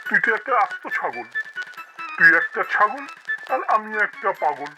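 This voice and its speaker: A man's voice: sits high, very animated. Vain, theatrical cartoon tiger king. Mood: silly, pleased. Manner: self-admiring, theatrical, drawn-out exclamations. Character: vain, foolish, boastful tail-less tiger king of the forest. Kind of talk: cartoon character acting in a comic animal tale. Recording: loud music behind.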